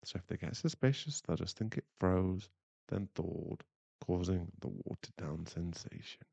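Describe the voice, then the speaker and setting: A young man playing a teasing, bashful bad-boy werewolf boyfriend in an intimate ASMR roleplay, halting and murmured. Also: low voice, gravelly, dark tone, breathy edge, dry recording, lo-fi sound, full character voice. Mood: teasing, concerned. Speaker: voice actor in an audio roleplay.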